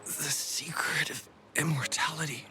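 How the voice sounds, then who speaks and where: A man's voice: fairly high pitch, fairly even intonation, measured pace, in a whisper, close to an everyday voice. An actor playing a dazed, stubborn, injured male explorer in a video game, weak and faltering. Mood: dazed, insistent. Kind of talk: scripted game dialogue. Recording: game music behind voice.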